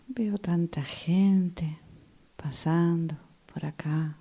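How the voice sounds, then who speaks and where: A woman's voice: on the low side, dark tone, breathy edge, exaggerated voice. A woman playing a restless commuter in a radio drama monologue, musing dramatically about strangers while she waits. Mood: weary, pensive. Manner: dramatic, deliberate, repetitive musing. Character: restless woman waiting for a train, pondering strangers' lives.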